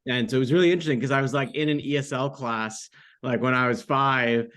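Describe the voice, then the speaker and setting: A man in his thirties speaks as a guest in a casual interview. His voice sits at a middle pitch, and he talks in a loose, informal way, circling through asides and often saying "like". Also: fairly even intonation, fast talker, fairly bright tone. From United States, born in France. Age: 30s. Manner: rambling, informal, frequent "like" asides. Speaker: podcast guest, author.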